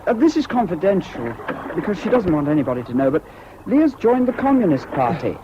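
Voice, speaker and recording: A man's voice: sits high, very animated, a little fast. An actor playing a naive, indecisive young British man in a radio drama, hesitant and ingenuous. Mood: confiding, uncertain. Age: young adult. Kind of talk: BBC radio drama acting. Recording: dull, noisy old radio broadcast.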